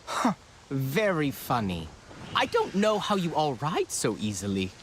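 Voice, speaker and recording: A nervous, eager young scavenger in a game. His pitch jumps about as he chatters hesitantly and corrects himself. Faint noise is behind him. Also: unhurried. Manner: hesitant, self-correcting, animated. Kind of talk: naturalistic game dialogue.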